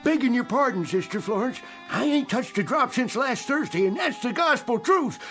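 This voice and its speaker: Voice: medium pitch, expressive, moderate pace, fairly bright tone, soft background music. An actor playing a humble, eager down-and-out drinker with a folksy, slangy manner in a radio drama. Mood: excited, earnest.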